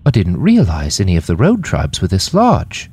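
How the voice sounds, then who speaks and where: A man's voice: deep voice, wildly swooping pitch, normal speed, a little mellow, husky, full character voice. A man voicing a polite, curious foreign correspondent, eager and easily fascinated, in an audiobook story. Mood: awed.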